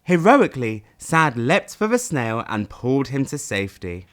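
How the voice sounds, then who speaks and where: A man reading a children's audiobook as narrator, with dramatic, expressive delivery. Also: mid-range voice, moderate pace. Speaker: audiobook reader.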